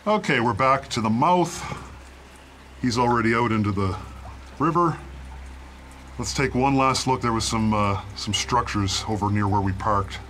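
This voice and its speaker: A man's voice: mid-range voice, measured pace, long pauses, fairly bright tone, a little hiss or hum. A man from Canada hosting an exploration vlog, giving casual, laid-back, unscripted commentary as he walks with the camera. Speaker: host of a mine exploration video series. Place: inside a small mine tunnel and outdoors in woods.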